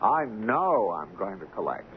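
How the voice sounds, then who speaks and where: Pompous shipping boss, tinny radio sound. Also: male voice, lowish voice, big pitch swings, a little slow. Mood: smugly dismissive. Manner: pompous, formal, condescending. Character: haughty, evasive, callous shipping company boss. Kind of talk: old-time radio drama acting. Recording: lo-fi, noisy radio drama recording.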